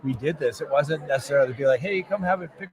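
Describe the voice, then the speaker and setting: A man's voice: on the high side, fast, darkish tone, a little hiss or hum. A man who is a lawyer and show host, chatting casually and earnestly at a convention booth, often restarting his sentences. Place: busy convention floor.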